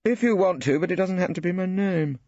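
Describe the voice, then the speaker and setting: A man's voice: sits high, fast, a little mellow, no echo, dull audio, pitched above the performer's own voice, natural voice. A man voicing a female barrister, cool and sarcastic, with clipped delivery. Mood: dry. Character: crisp, icy female barrister.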